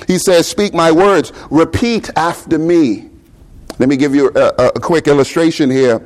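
A man's voice: fairly high pitch, normal speed. A man in his thirties with a Bahamian accent, preaching a sermon in a conversational way, asking rhetorical questions and often repeating words as he goes.

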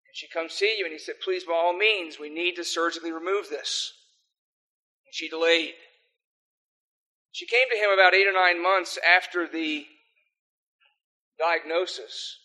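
Older male pastor, American accent, slightly high and bright voice, earnest sermon delivery. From South Africa. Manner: earnest, pleading in prayer. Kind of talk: sermon with opening prayer.

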